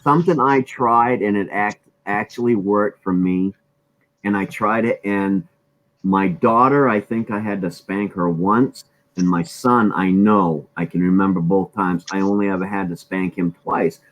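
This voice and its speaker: A man with a somewhat low voice speaks bluntly in a relaxed group chat. He tells things plainly and directly. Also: unhurried, rough recording.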